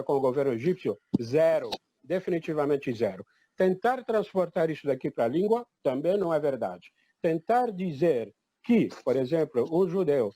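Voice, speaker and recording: A man's voice: a little high, dark tone, no room sound. An older man born in Egypt, giving an emphatic, declarative personal testimony at a public talk. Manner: emphatic, declarative, repeats "definitivamente". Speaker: event participant.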